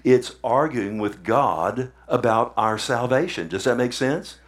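A man preaching a sermon, animated, often asking the congregation "Amen?" and retelling scripture in his own words. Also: medium pitch, a little slow. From Texas.

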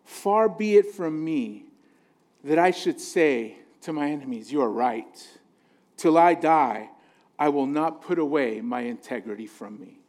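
A man's voice: a little high, lively intonation, a little slow, a little mellow. A man preaching an informal sermon as a pastor, talking conversationally and jokingly and often checking in with his listeners for agreement.

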